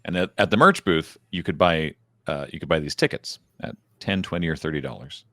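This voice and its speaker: A man's voice: extremely low pitch, brisk, bright, forceful tone, dry recording, clean studio sound. A man co-hosting a podcast, telling a rambling story in casual chat with many restarts. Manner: rambling, self-interrupting storyteller. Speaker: podcast co-host.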